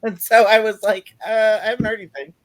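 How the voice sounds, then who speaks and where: A man's voice: high voice, quick pace, dry recording, rough recording. A man co-hosting a podcast, chatting casually and swearing freely. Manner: swears casually, relaxed rambling. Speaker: podcast co-host. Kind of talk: casual chat.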